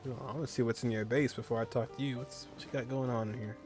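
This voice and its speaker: A man's voice: mid-range voice, fairly even intonation, quick pace. A man giving casual, colloquial let's-play commentary as a gaming streamer, reacting half to himself in a laid-back way. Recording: game music faintly behind commentary.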